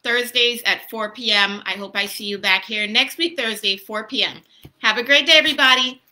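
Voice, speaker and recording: A woman hosts a children's story time in casual, simple language. Her voice is strikingly bright and sits a little high. She explains things plainly and often turns to the children with questions. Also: normal speed. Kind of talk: casual, child-directed teaching chat. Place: small room at home. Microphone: laptop or phone at arm's length.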